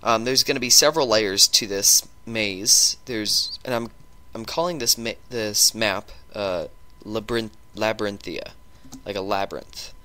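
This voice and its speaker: A man with a sore throat and a stuffy nose, chatting through a gameplay video and talking in a halting way with lots of ums and restarts. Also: lowish voice, pushed and bright. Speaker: gaming YouTuber. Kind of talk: casual gameplay commentary.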